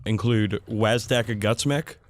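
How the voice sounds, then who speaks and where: A man's voice: fairly low pitch, slow pace, no echo. A man hosting a podcast, explaining things in a casual, enthusiastic hobbyist way. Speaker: podcast host.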